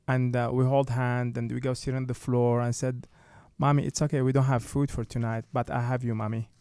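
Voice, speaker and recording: A man in his thirties with a Tunisian accent, a radio guest telling his story in simple, halting English. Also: mid-range voice, flat delivery, mellow, clean tone, no echo. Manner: simple broken English with many restarts. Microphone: studio mic.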